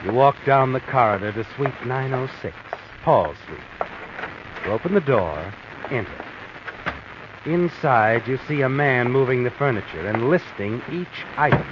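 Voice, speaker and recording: Guy playing creepy all-knowing american narrator from old radio mystery, sly, pitch swoops around, hissy dull audio. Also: expressive, measured pace, close to an everyday voice. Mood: ominous. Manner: sly, insinuating second-person address. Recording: hissy, muffled vintage radio recording.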